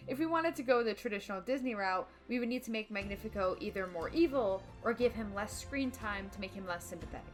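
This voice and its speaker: A woman's voice: mid-range voice, animated, fast, few pauses. A woman delivering an opinionated film video essay, emphatic and dramatic, with casual slang slipping in. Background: background music.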